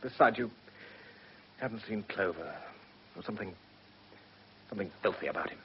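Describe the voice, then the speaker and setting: An actor playing an earnest British barrister and family man in a radio play, measured and precise. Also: on the low side, natural voice. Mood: troubled, indignant. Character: principled male barrister, husband and father, earnest. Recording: dull radio drama with faint hiss.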